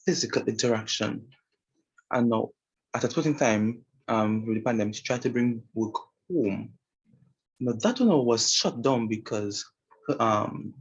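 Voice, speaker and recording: A man's voice: on the low side, husky. A young Trinidadian man giving an informal talk about a woman he interviewed, speaking hesitantly with many fillers and restarts. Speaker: student presenter. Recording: muffled, lo-fi sound.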